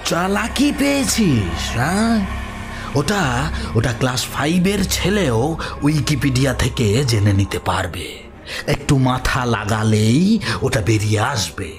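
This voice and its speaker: A male actor playing a gloating, ruthless villain in a horror audio drama, menacing and repeating his words in a halting way. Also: mid-range voice, very animated, over music. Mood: threatening, impatient. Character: gloating, ruthless male villain, kidnapper obsessed with occult secrets.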